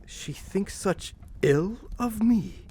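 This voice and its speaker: Man playing old miser, high voice, slow halting delivery, wistful, softening, audio drama. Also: expressive, slight background noise, exaggerated voice. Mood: wounded.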